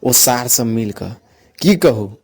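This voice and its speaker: A man's voice: medium pitch, animated, slow pace, a little mellow, slightly rough, heavily acted. A man voicing an aggrieved, blustering village in-law in a comic cartoon, complaining with a Maithili accent. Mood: sheepish, aggrieved. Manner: complaining, indignant.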